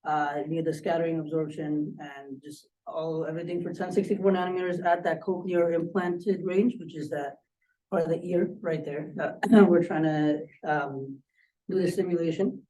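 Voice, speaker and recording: A man presenting technical work as a student, in an informal, halting, conversational way. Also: a little high, fairly even intonation, dark tone. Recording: distant microphone pickup.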